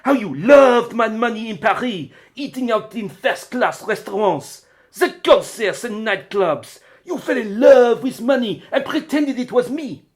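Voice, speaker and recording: A man playing a defensive, evasive crook and quarrelling lover in a radio drama, with an excitable manner. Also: extremely high pitch, unhurried. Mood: angry, exasperated.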